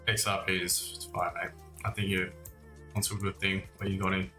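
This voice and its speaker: Bright-voiced man livestreaming in a roomy space. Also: low-pitched, flat delivery, a little fast. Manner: conversational, addressing viewers as mate and guys. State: recovering from cough and lost voice. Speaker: host of a live trading stream. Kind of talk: casual livestream market commentary. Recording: roomy echo, faint music.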